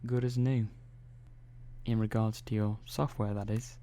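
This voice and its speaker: A man playing a calm, methodical robot engineer in an ASMR roleplay, speaking softly and slowly with hesitant pauses. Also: fairly low pitch. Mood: reassuring. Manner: soft-spoken, slow and hesitant, many pauses and "well"s.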